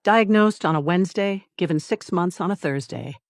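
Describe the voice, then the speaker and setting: Audiobook narrator for domestic drama, medium pitch, deliberate and measured delivery, very dry recording. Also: no echo.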